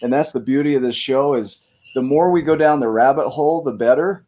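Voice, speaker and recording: Man, show host, casual and rambling, upbeat, middle pitch. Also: fairly quick, mellow. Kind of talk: casual interview hosting.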